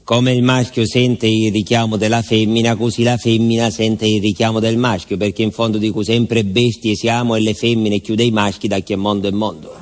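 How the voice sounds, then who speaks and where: A man's voice: on the low side, close to an everyday voice. Actor as a chatty southern Italian village postman. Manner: colloquial, dialect-tinged, confiding asides to a listener. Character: garrulous southern Italian village postman, sly and folksy storyteller. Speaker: stage actor. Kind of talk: theatrical monologue, oral village storytelling.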